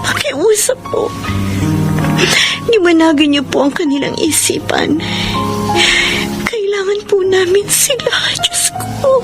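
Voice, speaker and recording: Earnest high-voiced young Filipina daughter. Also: female voice, lively intonation, takes it slowly, long pauses, exaggerated voice. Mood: pleading. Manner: polite, earnest, emotional. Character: earnest, dutiful young daughter, anxious and pleading. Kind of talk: Filipino radio drama acting. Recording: music behind the voice.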